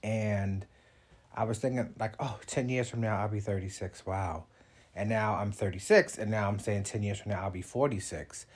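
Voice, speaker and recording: A man in his mid-thirties talks through a solo podcast episode in a loose, chatty way. His voice sits a little low and has a husky edge. He muses and chuckles as he goes. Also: moderate pace. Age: 30s. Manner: chatty, reflective, laughs between thoughts. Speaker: podcast host. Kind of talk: casual solo podcast monologue.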